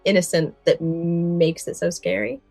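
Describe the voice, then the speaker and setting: Low-pitched woman co-hosting a podcast. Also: steady tone, a little slow, gravelly, a little mellow, slightly rough, no echo, faint music behind. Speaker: podcast co-host. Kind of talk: podcast chat with prepared summaries.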